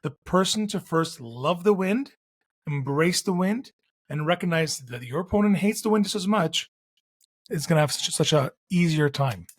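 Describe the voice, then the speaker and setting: A man's voice: on the high side, animated, moderate pace, husky. A man from Canada hosting a tennis podcast, chatting casually and telling stories with frequent restarts. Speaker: podcast host. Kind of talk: casual interview chat.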